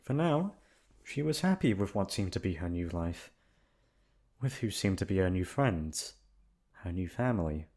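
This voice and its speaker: A man's voice: deep voice, big pitch swings, normal speed, long pauses, darkish tone. A man narrating a bedtime storybook tale as a voice actor, in an ASMR sleep-aid style.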